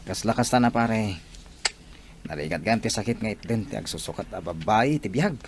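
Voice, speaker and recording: A man's voice: on the low side, animated, moderate pace. Blunt Ilocano man acting a nosy friend, faint noise. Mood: commiserating. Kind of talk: Ilocano radio-style drama acting.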